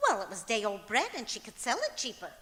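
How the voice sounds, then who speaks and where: Woman acting a high, daffy radio-comedy wife. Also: huge pitch swings, a little fast, fairly bright tone, a little hiss or hum. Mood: innocent, matter-of-fact. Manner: guileless, earnest delivery of nonsense. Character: scatterbrained, cheerfully illogical wife with her own daffy reasoning. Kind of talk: old-time radio comedy acting performed on stage. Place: performing arts center auditorium, live stage. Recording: echoey auditorium stage hall.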